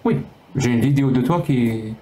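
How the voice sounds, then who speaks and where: A man hosting a live call-in debate stream, teasing callers and cutting in quickly. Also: mid-range voice, warm tone. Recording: roomy with faint noise.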